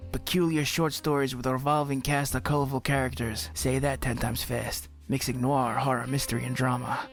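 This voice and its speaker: An actor playing a weary, wry noir private eye delivering a deadpan, casual promo monologue for an audio drama. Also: male voice, medium pitch, lightly acted. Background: music bed.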